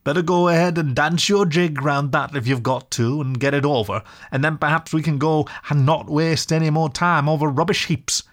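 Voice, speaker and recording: A man's voice: on the high side, fairly even intonation, normal speed, few pauses, pitched above the performer's own voice, lightly acted. A man voicing a fussy, stubborn small male mole in a storybook reading, speaking in a plaintive, grumbling way. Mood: pettish.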